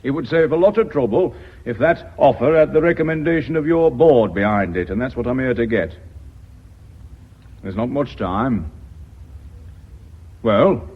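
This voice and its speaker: An actor playing a ruthless, blunt businessman in British radio drama, speaking in a curt, menacing, deliberate way. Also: mid-range voice, animated, husky. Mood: curt, demanding. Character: ruthless, blunt businessman pressing a hostile takeover. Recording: slightly dull radio drama recording.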